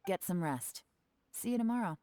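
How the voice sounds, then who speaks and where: An actress voicing a cool, composed female spy agent who speaks calmly and dryly in a video game. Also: medium pitch, normal speed, close to an everyday voice. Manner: calm, dry, quietly commanding.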